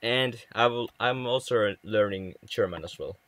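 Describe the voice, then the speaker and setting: A man's voice: on the low side, moderate pace, fairly bright tone, dry recording. A young man from Finland with a Finnish accent, chatting casually with viewers on a game livestream, often stumbling and restarting words. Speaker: livestream gamer.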